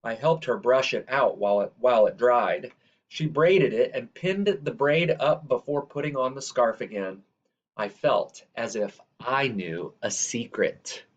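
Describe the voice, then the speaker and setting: A man with a medium-pitched voice narrates a children's storybook. His delivery is measured and expressive, lingering on the ends of phrases. The recording is somewhat dull and lo-fi. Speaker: storyteller reading a children's picture book aloud. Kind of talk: read-aloud scripted narration.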